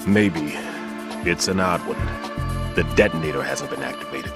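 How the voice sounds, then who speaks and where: An actor playing a methodical older male bomb-disposal expert in a video game cutscene, measured and precise. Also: rumbling low. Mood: calm, focused. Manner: measured, turns to urgent shouting. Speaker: explosives expert on the radio. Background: game score music.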